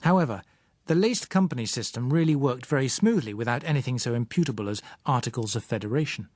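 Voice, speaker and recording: A British man narrates a novel in a medium-pitched voice. His delivery is measured and literary, with a dry wryness. The recording is very dry and free of room sound. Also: expressive, a little mellow, no echo. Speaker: audiobook narrator.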